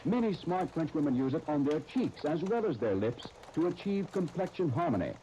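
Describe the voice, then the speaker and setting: Mellow, polished 1930s radio announcer man. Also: a little high, very animated. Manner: polished, dramatic, deliberate. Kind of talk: 1930s radio scripted narration and sponsor reading. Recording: old 1939 radio disc with faint crackle.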